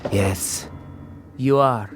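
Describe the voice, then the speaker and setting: An actor playing a solemn, relentless ghostly caretaker passing judgment in a radio drama, measured, stern and formal. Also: male voice, medium pitch, over loud music. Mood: cold, ominous.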